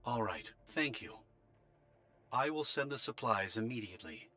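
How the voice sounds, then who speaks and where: Bright, crisp military operator. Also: male voice, medium pitch, lively intonation, normal speed. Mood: businesslike. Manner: formal, official briefing. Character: businesslike male military operator giving orders. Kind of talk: anime game voice acting.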